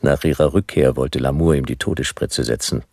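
Casting: a world-weary future gumshoe narrating noir radio drama, extremely low gravelly voice, laconic, close dry sound. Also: male voice, a little fast, darkish tone, no room sound, heavily acted. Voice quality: gravelly, husky. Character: world-weary male private detective of the future. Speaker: narrator and lead character.

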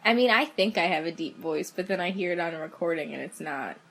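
A woman's voice: lowish voice, expressive, fast talker, a bit bright. A young woman co-hosting a podcast from her bedroom, laid-back and self-deprecating, laughing often. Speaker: podcast co-host. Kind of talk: casual chat. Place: bedroom at home.

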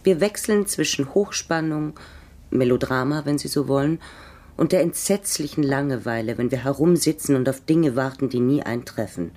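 Rauchige, kühle Geheimagentin. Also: female voice, very deep voice, normal speed, darkish tone. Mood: cool, measured. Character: cool, worldly female secret agent. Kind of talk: German radio drama acting.

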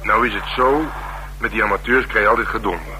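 An actor playing a gruff, streetwise police detective in a Dutch radio drama, talking in a dry, wry and slangy way. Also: male voice, lowish voice, a little fast, sharp tone. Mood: wry, matter-of-fact. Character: male police detective, gruff, streetwise, worldly, asking a favour. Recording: noisy radio drama recording.

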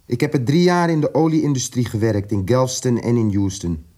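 An actor playing an educated, indignant South African radical in a radio drama, articulate and emphatic, repeating words for weight. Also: male voice, medium pitch, expressive, a little fast, mellow, close to an everyday voice. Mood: bitter.

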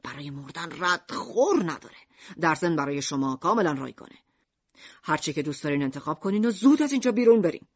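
A woman's voice: deep voice, very animated, husky, exaggerated voice. A hot-tempered storybook shopkeeper and father, acted by a woman, speaks brusquely and grumpily. The sound is somewhat dull and lo-fi. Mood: brusque selling. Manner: brusque, sales patter turning to bellowing. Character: grumpy, hot-tempered male poison-shop keeper, a father.

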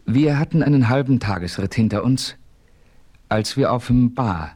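An actor as a composed western adventurer, telling his own story in a German radio play with measured narration. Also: mid-range voice, expressive, darkish tone. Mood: composed, narrating. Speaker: first-person narrator.

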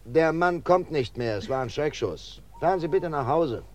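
An actor playing a calm, reassuring police inspector in a German radio crime play, measured and methodical. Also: male voice, a little high, close to an everyday voice.

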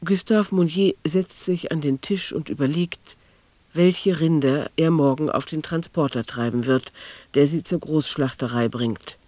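Actor as sober, higher-voiced male radio narrator. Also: measured pace, darkish tone, dry recording, lightly acted. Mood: sober, observant. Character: measured male narrator of a literary radio play. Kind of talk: scripted literary narration.

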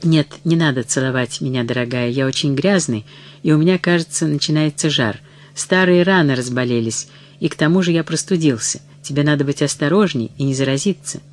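A reader playing a weary medieval knight who speaks softly but firmly to his wife and his mother, in a storybook reading. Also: fairly high pitch, fairly quick, lightly acted. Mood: gentle. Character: weary knight husband, gentle but firm.

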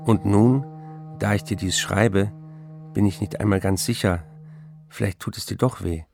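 Troubled, remorseful writer, intimate and measured, gravelly delivery, radio-play letter reading with music underneath. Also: male voice, fairly low pitch, fairly even intonation, a little mellow. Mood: tender confession. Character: troubled male writer confessing to his wife, tender, remorseful.

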